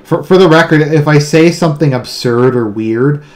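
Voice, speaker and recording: A man with a deadpan delivery speaks casually in a solo monologue, often repeating words and restarting his sentences. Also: on the high side, normal speed. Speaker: online video commentator. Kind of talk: casual monologue explaining own humour.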